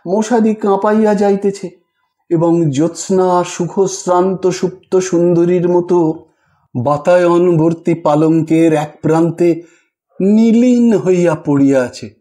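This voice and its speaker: Male literary narrator, mellow and high-voiced, measured dramatic reading of fiction. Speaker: audiobook narrator. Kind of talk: scripted literary story narration.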